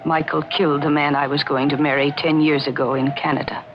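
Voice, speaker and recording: Bitter captive woman, gravelly, grave old radio drama acting, muffled hissy recording. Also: deep voice, flat delivery, fairly quick. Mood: grim, accusing. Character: desperate woman held captive for years, bitter and haunted.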